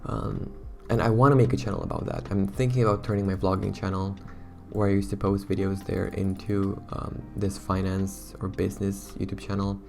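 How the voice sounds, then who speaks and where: A young man, hoarse from losing his voice, chatting casually and rambling to his viewers as a YouTuber. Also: low-pitched, little pitch movement, moderate pace, few pauses, music in the background. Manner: chatty, rambling, self-conscious. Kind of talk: casual talk to camera.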